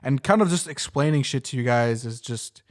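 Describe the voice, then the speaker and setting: A man's voice: medium pitch, moderate pace. A young adult man co-hosting a podcast, chatting casually in a rambling way and swearing often. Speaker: podcast co-host. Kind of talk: casual chat.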